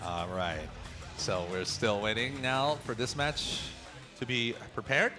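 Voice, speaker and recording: Guy co-casting an esports match, bright sharp voice, easygoing, faint music under. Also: mid-range voice, slow pace. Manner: relaxed, prompts the analyst with questions. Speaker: esports commentator. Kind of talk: live esports commentary.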